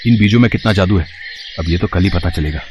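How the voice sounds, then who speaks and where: A man's voice: sits low, fast talker, a little mellow, muffled recording, slight background noise, close to an everyday voice. A man voicing a hardworking young egg seller in a storybook tale, dramatic and earnest. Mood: doubtful.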